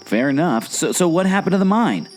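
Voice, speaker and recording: A man's voice: on the high side, sing-song, brisk, faint music behind. A man playing a naive, curious sidekick with a taste for corny jokes in a scripted audio drama. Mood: curious, surprised. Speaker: curious companion.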